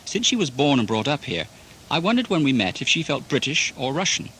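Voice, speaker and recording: Male radio presenter, polished and lively, bright voice, faint hiss in the background. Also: medium pitch, fairly quick, low-quality recording. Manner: polished, scripted delivery. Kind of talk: radio documentary narration and questions.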